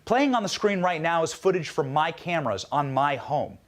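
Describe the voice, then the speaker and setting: An American man gives a scripted political commentary with emphatic, rhetorical phrasing. His voice sits a little high and swings widely in pitch. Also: lively intonation, moderate pace.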